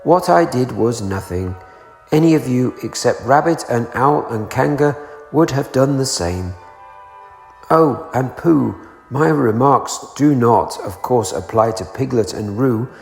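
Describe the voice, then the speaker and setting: Storybook donkey, male reader: glum, pompous, slow and drawn out, gravelly edge, echoey sound. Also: mid-range voice, darkish tone. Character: gloomy, self-important old grumbling donkey.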